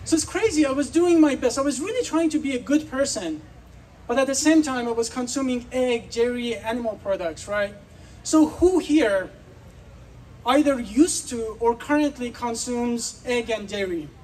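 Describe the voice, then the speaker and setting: A man's voice: very high-pitched, lively intonation, normal speed, a little mellow. Man from Iran, persuasive festival speech, distant mic, faint background noise. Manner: earnest, persuasive, addresses crowd with questions. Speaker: speaker. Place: outdoor festival.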